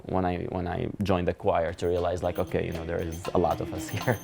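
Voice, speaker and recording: A man from Syria in his thirties, speaking reflectively and softly in an interview, with many hedging fillers. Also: deep voice, completely flat delivery, fairly quick, creaky, loud music behind the voice. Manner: soft-spoken, hedging with fillers. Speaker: interviewee, concert organizer.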